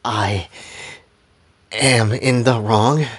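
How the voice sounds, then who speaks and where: A man voicing a bitter, righteous female villain in a told story, with dramatic, brooding pauses. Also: mid-range voice, steady tone, voice pushed down. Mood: grim conviction. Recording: music behind the voice.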